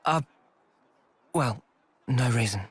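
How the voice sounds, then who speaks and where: A man's voice: mid-range voice, slow, breathy edge, no echo. An actor voicing an earnest, guarded young male soldier in a video game cutscene. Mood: guarded, subdued.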